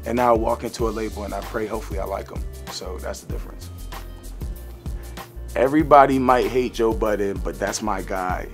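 A man's voice: fairly low pitch, fairly quick, faint music behind. A young man talking casually in an interview, laid-back, swearing freely and filling gaps with "uh" and "you know". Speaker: rapper guest. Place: studio, green-screen set.

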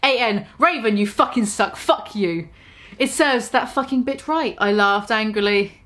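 A woman's voice: a little high, measured pace, a bit bright. A woman in her twenties giving an exaggerated, sarcastic mock-dramatic reading of fan fiction, recorded in a small room. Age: 20s. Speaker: vlogger reading aloud.

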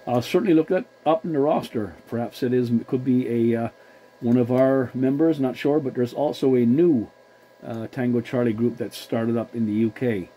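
A man chatting as a CB radio operator, courteous and measured, using formal call-sign procedure. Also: mid-range voice, mellow, husky, rough recording. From Newfoundland, Canada.

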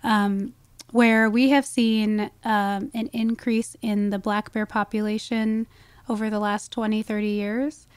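A woman's voice: medium pitch, level tone, a little slow, clean tone, no echo. A woman speaking as an expert guest in a conversational podcast interview, explaining things with frequent "you know" asides. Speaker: guest wildlife ecologist.

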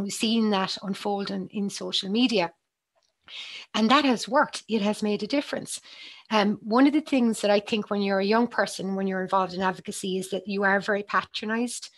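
A woman, a psychologist speaking earnestly on a panel over a video call, with many ums and restarts. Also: mid-range voice. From Ireland. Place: small room with bookshelves, indoors. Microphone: headset on a video call.

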